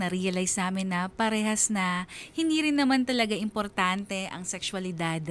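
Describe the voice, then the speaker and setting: A woman's voice: mid-range voice, lightly acted. A woman playing a young Filipino mother in a radio drama, narrating her life story in an earnest, heartfelt, conversational way. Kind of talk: scripted first-person radio drama narration.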